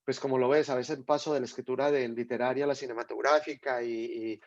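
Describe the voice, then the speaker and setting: A man's voice: medium pitch, steady tone, fast, no echo. A Colombian man, a writer, talking thoughtfully on a video call, often restarting and repeating words. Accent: Colombian (Medellín). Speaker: guest writer. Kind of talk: hesitant conversational talk on a video call. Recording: dull lo-fi video call audio.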